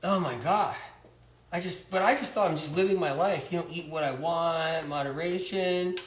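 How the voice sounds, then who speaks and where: A man's voice: a little high, normal speed, roomy sound, lightly acted. A man doing a rambling, oblivious young guy. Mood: incredulous. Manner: rambling, incredulous, repeats himself. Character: oblivious young man who eats chicken daily.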